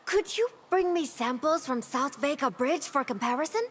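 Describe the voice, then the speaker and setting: A very high, lively female voice an excitable scholar in a fantasy game. She muses aloud with wide swings in pitch and great curiosity, over faint background noise. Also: normal speed. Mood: curious, puzzled. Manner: animated, musing aloud. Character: excitable, inquisitive female scholar of ancient stones. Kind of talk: fantasy game dialogue acting.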